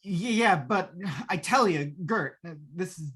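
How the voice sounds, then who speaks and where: A woman's voice: lowish voice, animated, a little slow, a bit bright, slightly rough, full character voice. A woman playing a flustered, henpecked working husband who stammers nervously, in old-time radio comedy style. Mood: harried, pleading. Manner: stammering, hesitant.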